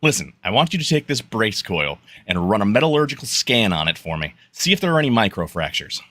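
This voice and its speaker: A man's voice: fairly low pitch, very animated, fairly quick, fairly bright tone, dry recording. A man playing a confident, practical starship engineer in a radio play, talking casually. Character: confident, easygoing male starship chief engineer. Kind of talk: radio play live read.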